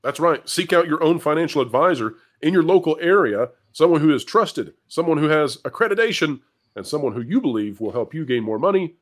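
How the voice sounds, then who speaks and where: A man's voice: a little high, animated, fairly quick. A man hosting commentary and panel talk, with an emphatic, wordy presenter's delivery. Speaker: host of an entertainment business news channel.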